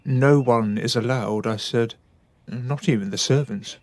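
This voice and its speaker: A man's voice: mid-range voice, a little slow, darkish tone, dry recording, lightly acted. An actor playing a proper, wary upper-class father with a formal, reserved manner in a radio drama. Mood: stubborn.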